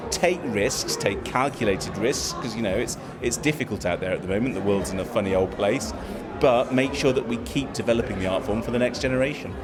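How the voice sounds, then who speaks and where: A man, a theatre producer, talking in an interview with warm enthusiasm. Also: medium pitch. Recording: loud crowd around. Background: crowd chatter.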